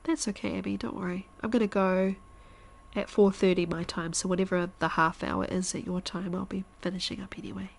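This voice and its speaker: A congested woman streamer talks through her game in a loose, chatty way, thinking aloud and sometimes slipping into a soft whisper. Her voice sits at a middle pitch with a gravelly, creaky edge, and her nose sounds blocked. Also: little pitch movement, fairly quick. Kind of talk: casual live-stream chat while gaming.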